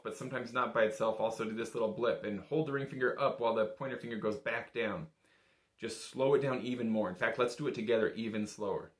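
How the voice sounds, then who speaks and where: A young man giving a casual, patient, step-by-step music lesson in an informal, encouraging way. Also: medium pitch. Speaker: bagpipe teacher. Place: small indoor room. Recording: echoey small room.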